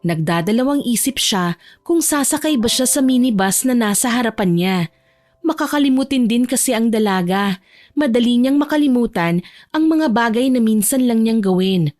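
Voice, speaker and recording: A woman's voice: on the high side, expressive, normal speed, a little mellow, no room sound, faint music behind. A woman reading scripted narration for an audio romance drama as the storyteller.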